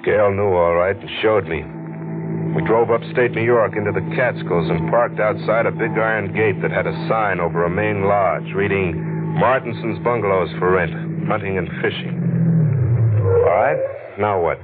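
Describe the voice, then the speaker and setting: Guy doing tough wry detective type, real deep voice, slangy 40s radio drama, tinny noisy old recording. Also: normal speed, natural voice. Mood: grim narrating. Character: hard-boiled streetwise male investigator, wry and skeptical. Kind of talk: 1940s radio mystery acting and narration.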